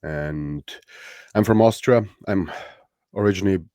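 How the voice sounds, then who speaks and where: A man in his thirties from Romania with a Romanian accent, speaking as a podcast guest in a thoughtful, halting way with many fillers and restarts. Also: deep voice, warm tone, no room sound, clean studio sound. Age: 30s. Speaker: podcast guest, strongman athlete. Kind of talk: casual interview chat.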